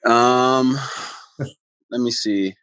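A man, a guest telling stories in a relaxed, rambling way on a video call from his phone at home. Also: mid-range voice, very slow, clear, resonant tone, no echo. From the Bronx, New York. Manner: laid-back, rambling, many "you know what I mean" tags. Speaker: guest, pro basketball player. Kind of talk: casual storytelling chat. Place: room at home, indoors. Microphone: phone camera held close on a video call.

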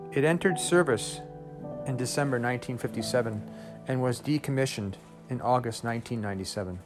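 A man giving a measured, scripted narration of facts. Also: medium pitch. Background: guitar music.

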